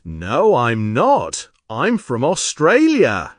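A young schoolboy, friendly, chatty, slow, very lively pitch. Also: full character voice. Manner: slow, clear, carefully spoken. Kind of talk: scripted textbook dialogue.

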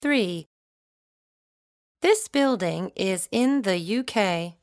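Slow, carefully enunciating woman reader. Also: medium pitch, animated, dry recording, clean studio sound. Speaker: recorded listening test reader. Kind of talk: scripted listening exercise.

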